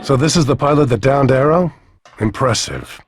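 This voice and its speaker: An actor playing a commanding military villain in a video game, deliberate and approving with an undertone of menace. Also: male voice, mid-range voice, expressive, natural voice. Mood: calculating. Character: commanding male military villain, smooth-talking recruiter.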